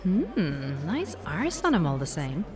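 A woman's voice: sits low, huge pitch swings, takes it slowly, over music, full character voice. An actress playing the mischievous, sweary inner voice of a date-weary Irish woman in an audio drama. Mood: sardonic. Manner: sweary, sardonic.

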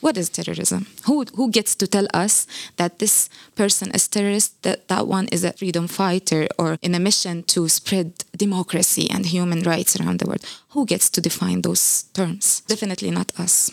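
A woman from Palestine speaks forcefully in an interview, pressing her points with rhetorical questions. Her voice sits on the low side and sounds dry and close, with no room around it. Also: steady tone, normal speed, creaky, hardly any pauses, dry recording. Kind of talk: impassioned interview answer.

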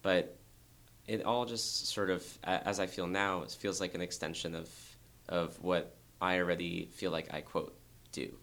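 A young man, a dancer, talking earnestly and thoughtfully in an interview, with a very low voice and frequent restarts. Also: little pitch movement, moderate pace, creaky, fairly bright tone. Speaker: dancer guest. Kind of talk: reflective interview talk.

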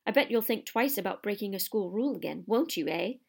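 Audiobook villainous caretaker played by a woman: male, spiteful, gleeful, leering delivery, plenty of rasping fry. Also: medium pitch, animated, fairly quick, a little mellow. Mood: gloating. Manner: leering, sneering, nasty. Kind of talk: audiobook character voice.

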